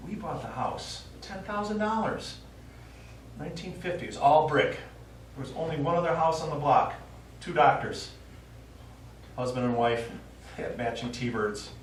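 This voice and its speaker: An actor playing a stubborn elderly widower, a war veteran and retired teacher who reminisces and quotes verse in a stage play. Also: male voice, on the high side, lively intonation, unhurried, pauses often. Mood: reminiscing. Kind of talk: live stage play acting. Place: small indoor theatre stage. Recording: echoey theatre, distant mic, faint noise.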